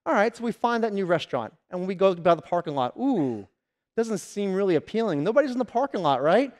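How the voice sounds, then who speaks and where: A man's voice: sits high, big pitch swings, a little fast, darkish tone. A man in his thirties giving a conference keynote on a large stage through a headset mic, telling stories and acting out customers and salespeople. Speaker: keynote speaker.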